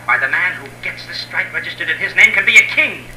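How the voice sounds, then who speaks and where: Forceful, high-voiced greedy prospector, old radio. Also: male voice, animated, fast, full character voice. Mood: exultant. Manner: forceful, theatrical. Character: greedy, hard-nosed male prospector, excitable and mercenary. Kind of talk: 1950s radio drama acting. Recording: noisy, roomy old radio recording.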